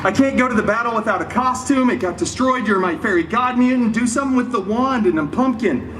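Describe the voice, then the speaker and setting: A man's voice: extremely high pitch, fairly quick, a bit bright. A man playing a cheeky, wisecracking costumed superhero, telling a mock fairy tale live with sarcastic, theatrical asides. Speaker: costumed theme park character performer telling a story. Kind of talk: live comic storytelling to an audience. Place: theme park show before an audience. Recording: live crowd, noisy, distant mic.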